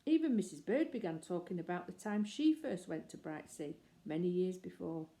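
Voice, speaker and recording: A woman reading a children's book aloud in a gentle, expressive way. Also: on the low side, normal speed. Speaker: reader.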